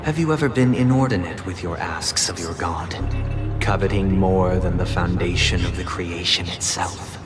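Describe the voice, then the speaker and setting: An actor playing a grave ritual inquisitor in a video game, speaking in a stern, ceremonial, deliberate way. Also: male voice, low voice, close to an everyday voice. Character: grave male ritual inquisitor administering holy oaths. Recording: loud music behind the voice. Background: loud game music.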